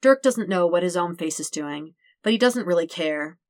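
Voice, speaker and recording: A woman's voice: lowish voice, animated, moderate pace, no echo, clean studio sound. A woman reading a fictional story aloud as a scripted narration. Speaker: podfic reader.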